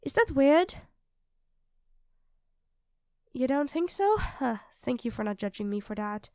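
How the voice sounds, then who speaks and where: A woman's voice: high-pitched, sing-song, moderate pace, no room sound, clean studio sound. A woman playing a sweet, self-doubting young girlfriend in an intimate ASMR roleplay, speaking hesitantly and earnestly to her partner. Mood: uneasy, earnest. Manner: hesitant, soft-spoken, earnest, addressing a partner directly.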